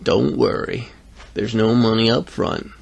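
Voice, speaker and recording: A man voicing a sinister, oily male tombstone dealer who talks in slow, measured sales patter for a story. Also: mid-range voice, vocal fry, breathy edge, exaggerated voice. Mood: oily, coaxing.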